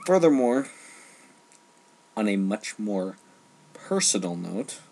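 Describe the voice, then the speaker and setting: A man delivering an informal opinion rant, emphatic and halting, often repeating phrases for stress. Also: on the low side, big pitch swings, creaky, mellow. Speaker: online ranter.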